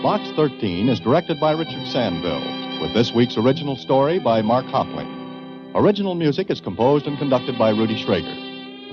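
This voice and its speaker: Animated male 1940s radio announcer. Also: mid-range voice. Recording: muffled old radio recording over music.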